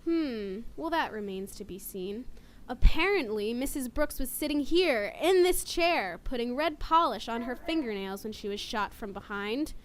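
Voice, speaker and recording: A woman playing a cool, deliberate police inspector in a radio murder mystery. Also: fairly high pitch, expressive, close to an everyday voice. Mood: probing. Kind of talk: radio drama acting.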